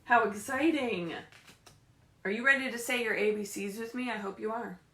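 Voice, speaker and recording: A woman leading a story time for young children as a librarian, animated and encouraging, often putting questions to the kids, speaking from a room at home. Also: fairly high pitch, normal speed. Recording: roomy, echoey home livestream.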